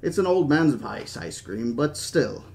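A man's voice: medium pitch, animated, a little slow, darkish tone. A man voicing a stubborn, grandiose old park founder in his seventies, with an attempted British accent and a genteel, speechifying manner. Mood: wistful. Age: 70s.

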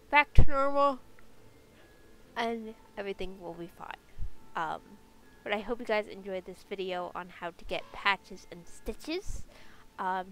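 A woman's voice: medium pitch, fairly bright tone, a little hiss or hum, poor audio. A young woman with a congested, stuffy voice, chatting casually through a game guide with lots of fillers. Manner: chatty, many fillers, upbeat. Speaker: game video creator. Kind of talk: casual gameplay guide narration.

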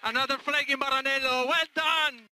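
A man working as a race engineer, cheering excitedly over team radio. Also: very high voice, normal speed. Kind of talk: congratulatory team radio message. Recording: noisy, distant-sounding team radio.

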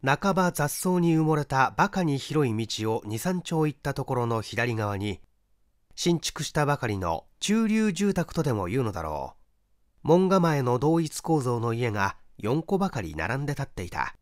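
Male narrator for mystery audiobook: mid-pitched, highly expressive range, close dry recording. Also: moderate pace, no echo. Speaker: audiobook reader, stage actor. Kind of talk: dramatic scripted narration of a mystery story.

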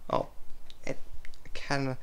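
A man's voice: moderate pace, a bit bright. A young man reading a picture book aloud as a story time host, stumbling as he goes, with sound effects and playful asides to a puppet. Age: young adult. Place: small room indoors.

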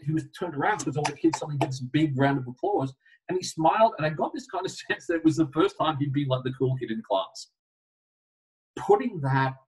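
A middle-aged man giving a webinar presentation on a video call, telling stories with lively asides. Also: medium pitch, husky. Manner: animated storytelling with asides. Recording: distant video call audio.

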